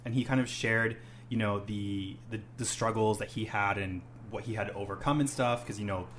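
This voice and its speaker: A man's voice: on the low side, moderate pace, a bit bright, a little hiss or hum. A young man hosting a casual chat, rambling with many "um"s and "you know"s. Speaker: host, English teacher.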